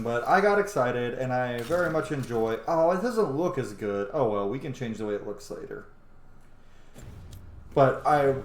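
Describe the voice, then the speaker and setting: A man's voice: medium pitch, reverberant, slight background noise. A man giving casual, chatty, enthusiastic gameplay commentary, with some offhand swearing. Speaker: host of a gameplay series.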